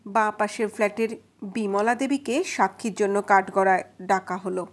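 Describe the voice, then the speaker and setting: A woman's voice: mid-range voice, fairly even intonation, moderate pace, soft background music. A woman narrating a detective audio story in a dramatic storytelling style.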